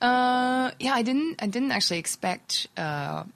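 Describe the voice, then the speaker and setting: A woman's voice: fairly high pitch, animated, unhurried, creaky, fairly bright tone. A woman in her thirties from Australia with an Australian accent, chatting casually as a guest on an interview podcast and laughing easily between thoughts. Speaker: actress guest.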